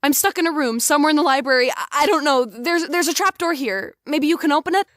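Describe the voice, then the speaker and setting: A woman's voice: high-pitched, fast talker, fairly bright tone, no echo, studio quality. A young woman playing a bewildered, earnest teenage girl from the past in a hobby audio drama. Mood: anxious, relieved. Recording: music playing behind the voice.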